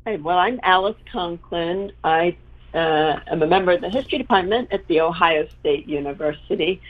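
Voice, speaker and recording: A woman history professor explaining as a panel guest in a measured, lecturing way. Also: fairly low pitch. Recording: tinny phone-like line.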